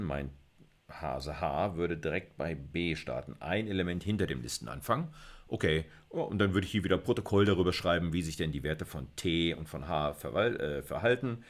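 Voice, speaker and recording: A middle-aged man with a low-pitched voice explains material in an informal university lecture. He talks conversationally, thinking out loud and asking himself questions before answering them. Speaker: university computer science lecturer.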